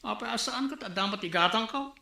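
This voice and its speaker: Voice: medium pitch, a little fast, vocal fry, bright, forceful tone, echoey room. An actor playing a chatty neighbor who teases the others in a comedic drama. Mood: teasing. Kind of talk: comedic radio drama acting.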